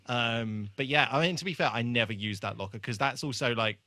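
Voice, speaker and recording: Man, podcast host, bright forceful voice, very dry recording, casual, rambling, jokey. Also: mid-range voice, brisk, no room sound. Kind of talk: casual chat.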